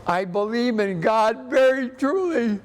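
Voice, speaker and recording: An elderly American man in his eighties, an interview guest speaking earnestly and haltingly, often repeating himself. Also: extremely high pitch, expressive. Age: 80s. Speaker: interview guest, army veteran. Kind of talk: personal reminiscence in an interview. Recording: echoey hall with background noise.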